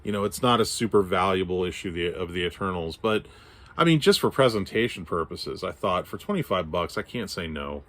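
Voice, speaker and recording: A man's voice: low-pitched, animated, a little fast, fairly bright tone. A man from Texas chatting casually about his comic book haul, his voice scratchy from allergies, rambling with fillers and restarts. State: hoarse, scratchy throat from allergies. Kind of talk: casual show-and-tell chat.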